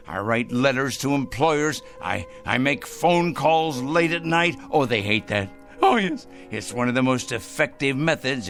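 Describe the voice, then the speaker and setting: An actor playing a fanatical, self-righteous crank in a radio drama, fussy and excitable, repeating himself emphatically. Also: male voice, a little high, full character voice. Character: fanatical, self-righteous male crank obsessed with punishing evil.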